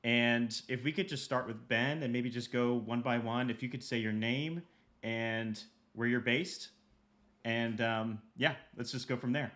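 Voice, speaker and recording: A man hosting a live video stream, relaxed and casual, with frequent fillers. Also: mid-range voice, measured pace. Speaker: host. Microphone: video call.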